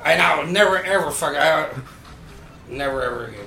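A man's voice: fairly high pitch, normal speed, creaky, pushed and bright. A man telling loose, profane stories in a casual chat among friends. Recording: echoey room with music under it.

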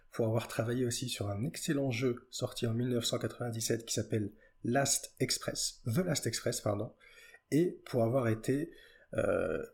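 A man's voice: mid-range voice, a little mellow. A man hosts the interview. He reads out a prepared introduction in a polite, formal way, stopping now and then to correct a slip. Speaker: podcast host. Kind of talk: scripted introduction.

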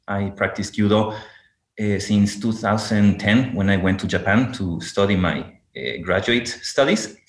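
Low-voiced man from Ecuador, hesitant, echoey room. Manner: hesitant, many fillers and restarts. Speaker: podcast guest, university teacher. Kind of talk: casual interview talk.

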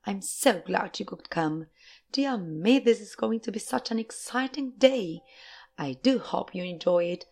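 A woman voicing an effusive, excitable little eccentric male factory owner in a high, fluty storybook voice. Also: creaky. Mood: gushing delight. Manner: effusive, exclamatory, polite, rapid repeated phrases. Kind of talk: storybook character voice.

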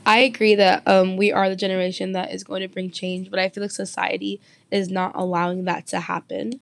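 A woman's voice: mid-range voice, flat delivery, fairly quick, creaky. A young woman hosting a student discussion podcast, earnest and asking her guests questions. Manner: earnest, asks the panel questions. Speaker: host.

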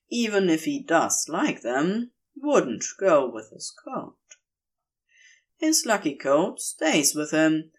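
Slow, expressive woman narrating a story. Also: fairly low pitch, husky. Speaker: podfic narrator reading a story.

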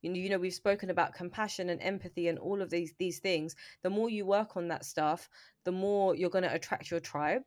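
Female co-host, lower voice, supportive slangy delivery. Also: fairly even intonation, brisk, dry recording, clean studio sound. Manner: warm, encouraging, slangy. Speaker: podcast co-host. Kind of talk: casual interview chat.

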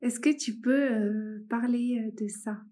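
A woman's voice: a little high, steady tone, a little slow, warm tone. A young woman hosting a podcast, asking her guest questions in an informal, friendly way with some fillers. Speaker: podcast host, interviewer. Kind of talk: casual interview questions.

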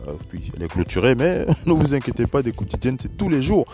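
A man's voice: medium pitch, very animated, fast. A man hosting a solo basketball news podcast, talking casually with many fillers and restarts. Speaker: podcast host. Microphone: voice message recording.

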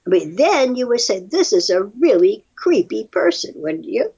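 A woman with a husky voice chatting casually as a podcast guest, musing aloud with firm opinions and rhetorical questions. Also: fairly high pitch, sing-song, normal speed, warm tone, lo-fi sound. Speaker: podcast guest reacting to research studies. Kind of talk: casual conversational commentary.

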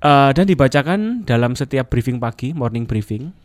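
Male radio guest, dry close mic, lively explainer. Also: medium pitch, normal speed, no room sound. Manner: lively explaining, sprinkles English terms, frequent "ya" check-ins. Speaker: marketing expert guest. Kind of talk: radio talk show chat. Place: radio studio. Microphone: close studio mic.

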